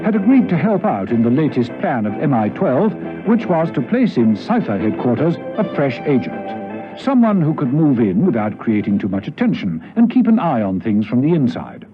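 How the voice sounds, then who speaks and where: A man acting as the narrator of a spy radio serial, telling the story in a measured, dramatic way. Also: medium pitch, very animated, over music, close to an everyday voice. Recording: dull, noisy old radio recording.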